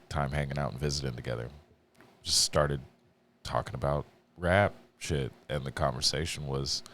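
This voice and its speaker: A man with a deep radio voice, chatting casually as a podcast guest, relaxed and swearing, rambling with restarts. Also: gravelly, slight background noise. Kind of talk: casual chat.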